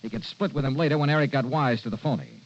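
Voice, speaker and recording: A man's voice: mid-range voice, animated, quick pace, vocal fry. An American man playing a wry, self-assured freelance insurance investigator in 1950s radio noir style, casual and slangy. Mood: smug, explaining. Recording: muffled, noisy vintage radio recording.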